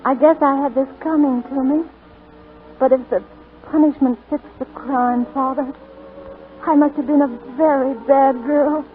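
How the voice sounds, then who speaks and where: An actress playing a flustered, wry young bride-to-be in a 1940s radio drama. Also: female voice, sits high, steady tone, measured pace. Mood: wry, weak. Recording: tinny 1942 radio broadcast, faint music.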